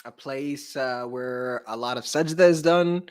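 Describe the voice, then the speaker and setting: A man's voice: medium pitch, measured pace, clear, resonant tone. A man, a mufti, co-hosting a children's story time with casual, joking chat.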